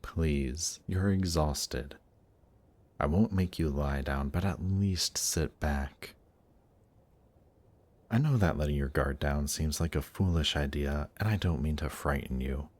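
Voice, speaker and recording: Casting: male actor for suave demon prince of Hell, extremely low voice, deliberate and tempting, intimate fantasy audio roleplay. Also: exaggerated voice. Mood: coaxing. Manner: slow, seductive, deliberate, gently coaxing. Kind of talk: intimate audio roleplay, scripted monologue to listener.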